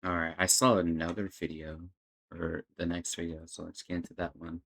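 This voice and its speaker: A man's voice: low-pitched, level tone, moderate pace, creaky, a bit bright, faint music behind. A man hosting a reaction video, giving casual off-the-cuff commentary as he watches.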